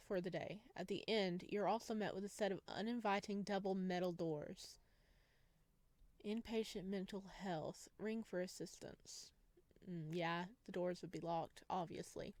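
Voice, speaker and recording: A woman reads a visual novel aloud for a gaming video, slipping casually between the on-screen narration and her own playful remarks. Her voice sits at a middle pitch. The recording is close and very dry, with almost no room sound. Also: a little mellow, no echo. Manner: playful, giggly asides. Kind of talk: reading game text aloud with casual reactions.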